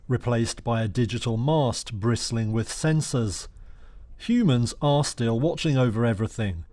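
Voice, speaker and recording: A male news reporter delivers scripted narration. His pitch sits in the middle range for a man, and he reads in the measured manner of a broadcast report. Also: a little mellow.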